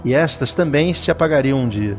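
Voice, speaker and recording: A Brazilian man narrating a scripted dramatic story in a solemn, deliberate manner. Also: mid-range voice, animated, faint music behind.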